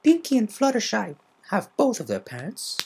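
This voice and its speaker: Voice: mid-range voice, wildly swooping pitch, normal speed, creaky, warm tone, slight background noise, pitched above the performer's own voice. A performer voicing an earnest, concerned young female cartoon unicorn pony with a sensible manner. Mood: matter-of-fact.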